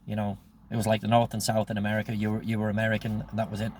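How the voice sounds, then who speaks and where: A man's voice: fairly low pitch, completely flat delivery, very fast, a little mellow. A man of sixty with a Northern Irish accent, telling stories from his own life in a casual, rambling way and quoting remembered conversations. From Northern Ireland. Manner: rambling, acts out remembered dialogue. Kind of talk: casual anecdotal monologue.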